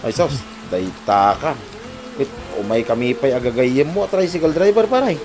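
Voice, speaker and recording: A man's voice: mid-range voice, animated, moderate pace, natural voice. Teasing village pal played by a man, Ilocano accent, swooping delivery, music underneath, comedy drama. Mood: teasing, amazed. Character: teasing village buddy, husband's excitable friend.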